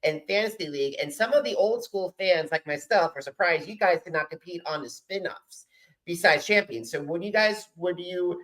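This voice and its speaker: A man's voice: high voice, moderate pace, fairly bright tone, rough recording. A man hosting a podcast interview, talking like an enthusiastic fan, in bursts with many restarts. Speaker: podcast host. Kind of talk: casual interview chat.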